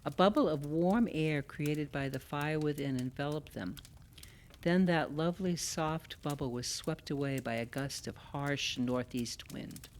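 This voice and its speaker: A woman giving measured, scripted literary narration for an audio play. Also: sits low, darkish tone, slight background noise.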